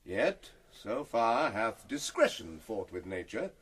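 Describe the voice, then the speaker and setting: Stately monarch, formal oratory for radio drama, bright ringing tone, animated pitch. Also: male voice, slow pace, breathy edge, full character voice. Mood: stately, controlled. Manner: formal, oratorical public address. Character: Claudius, stately, calculating middle-aged king. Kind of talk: Shakespearean radio drama acting.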